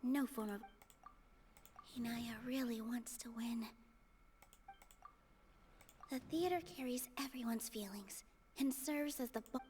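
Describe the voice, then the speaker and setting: A woman playing a gentle, earnest young theater dancer in a video game, soft-spoken and polite. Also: a little high, slow pace, pauses often, a little hiss or hum. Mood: thinking quietly.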